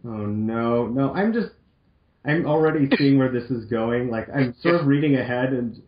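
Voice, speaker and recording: An exasperated man offers casual, mocking commentary, halting as he goes in mock despair. His voice sits at a middle pitch, and the recording is roomy and slightly muffled. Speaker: co-reader and commentator. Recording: muffled, echoey room.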